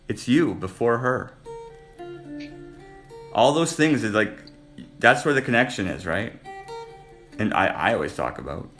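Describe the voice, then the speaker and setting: Male chat host, middling pitch, blunt and teasing, probing questions, faint guitar music behind. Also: pushed and bright. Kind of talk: casual probing relationship chat.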